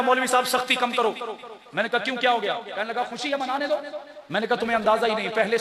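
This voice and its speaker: High-pitched Pakistani male preacher, crowd behind. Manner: rhetorical, repeats phrases and prompts listeners for answers. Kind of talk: fiery sermon to a crowd. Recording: echoey PA with loud crowd behind. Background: crowd responding.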